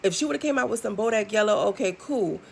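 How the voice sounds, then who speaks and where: Sassy gravelly-voiced woman vlogger. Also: medium pitch, fast talker. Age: 30s. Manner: sassy, blunt, animated with frequent ums and asides. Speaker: music commentary vlogger. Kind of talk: casual opinion commentary.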